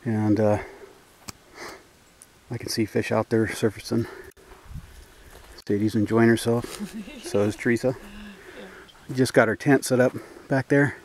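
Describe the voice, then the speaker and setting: A man narrating his canoe trip outdoors in a relaxed, rambling way with many fillers, recorded on a camera. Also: medium pitch, a little slow, pauses often, low-quality recording. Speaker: outdoor vlogger.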